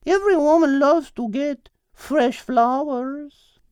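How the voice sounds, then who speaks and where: A man's voice: very high voice, takes it slowly, darkish tone, dry recording, clean studio sound, voice pushed up. A man voicing a coaxing, motherly female cow with a Spanish accent in an audiobook story.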